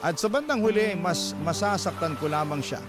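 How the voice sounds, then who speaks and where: A man's voice: high-pitched, lively intonation, moderate pace. A man with a Filipino accent reading a letter as dramatic scripted narration, as host of a radio drama. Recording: loud music under the voice. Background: loud music bed.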